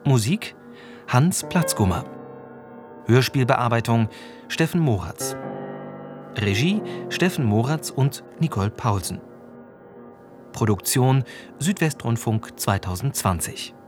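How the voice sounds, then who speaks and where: A man's voice: medium pitch, lively intonation, slow pace, long pauses. Male radio drama announcer over music. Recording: music behind the voice.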